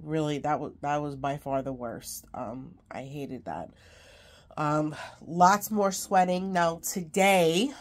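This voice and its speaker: Congested low-voiced woman vlogger. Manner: rambling, many ums, laughs between lines. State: congested, sick. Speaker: craft vlogger. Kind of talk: casual chat to camera.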